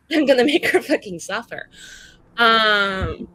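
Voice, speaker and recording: A woman's voice: on the high side, lively intonation, slow, pushed and bright, rough recording. Woman playing a tabletop rpg, sweary and informal, bit of noise behind her. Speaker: tabletop roleplaying game player. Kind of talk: casual in-character roleplay.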